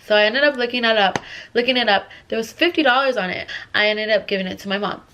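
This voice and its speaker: A woman's voice: fairly high pitch, fast talker, bright, forceful tone, a little hiss or hum. A young woman telling a casual storytime, congested from a cold, rambling with lots of fillers. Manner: rambling, filler-heavy, restless. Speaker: vlogger.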